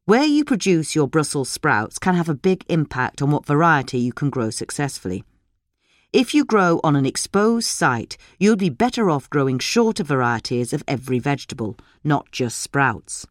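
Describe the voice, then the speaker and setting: Woman reading with lively, swooping intonation. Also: low voice, moderate pace, no room sound, studio quality. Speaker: reader of a gardening magazine article. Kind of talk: scripted narration, podcast article reading.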